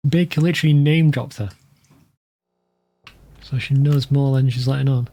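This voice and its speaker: A man with a creaky, mellow voice and medium pitch hosts a let's play. He chats casually as game commentary, with faint music behind. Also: fairly even intonation, moderate pace. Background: game music, keyboard typing.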